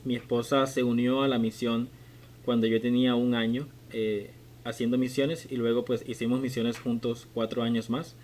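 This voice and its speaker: A man in his late twenties hosting a podcast interview, asking measured questions with occasional restarts. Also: mid-range voice, flat delivery, darkish tone, a little hiss or hum. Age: 20s. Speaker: podcast host. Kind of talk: conversational interview.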